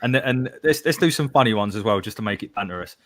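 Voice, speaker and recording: A man's voice: on the low side, lively intonation, quick pace, fairly bright tone. A man hosting a live football podcast, reading out listener messages with a laugh. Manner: upbeat, reads out chat messages with laughs. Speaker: podcast host.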